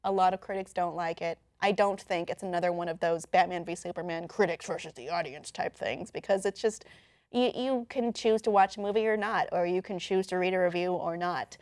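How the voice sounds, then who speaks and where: A woman's voice: fairly low pitch, brisk, darkish tone, dry recording, clean studio sound. A woman hosting an online entertainment news show, explaining things in a casual, conversational way. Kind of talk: casual news chat with a co-commentator.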